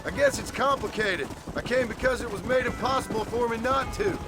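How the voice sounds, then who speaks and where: An actor playing a guarded, sardonic gunslinger with an American accent and a terse way of talking in a video game. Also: male voice, very high-pitched, fairly quick. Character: guarded, dry, threatening gunslinger, reluctant lawman's helper. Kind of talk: dramatic video game acting. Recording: noisy game audio, outdoor ambience.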